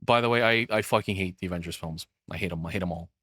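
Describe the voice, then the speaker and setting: A man with a fairly low, bright and forceful voice talks in a very dry recording. He rambles casually through his opinions like a streamer reacting, blunt and freely cursing. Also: quick pace, no room sound.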